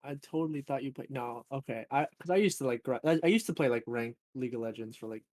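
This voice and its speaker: A man hosting a podcast, chatting casually in a relaxed way with plenty of fillers. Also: mid-range voice, fast, no room sound. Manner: relaxed, filler-heavy, laid-back gamer talk. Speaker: podcast host. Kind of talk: casual chat.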